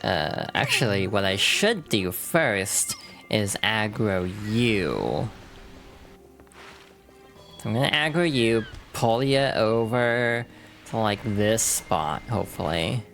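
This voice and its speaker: A VTuber streamer with a hoarse, froggy voice from allergies, chatting casually while playing a game and laughing at their own slips. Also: medium pitch, sing-song, slow, fairly bright tone, soft background music. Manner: chatty and self-deprecating, many fillers, laughs through words.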